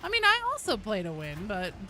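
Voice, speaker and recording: A woman's voice: medium pitch, huge pitch swings, fairly quick, noisy background. A woman game streamer chatting casually with viewers as she plays, blunt, sweary and given to dramatic emphasis, with game sounds behind her. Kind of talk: casual live gameplay commentary, chatting with viewers.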